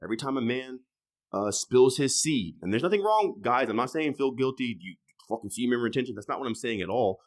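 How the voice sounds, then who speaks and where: A man in his thirties, a podcast guest in casual conversation, talking loosely and with swearing, jumping from loud emphatic bursts to quiet trailing asides. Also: medium pitch, animated, fairly quick. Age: 30s.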